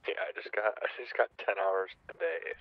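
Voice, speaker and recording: A man's voice: lowish voice, animated, normal speed, gravelly, phone-quality sound. A man chatting casually and slangily in an online roleplay game, swearing freely. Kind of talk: casual gamer chat.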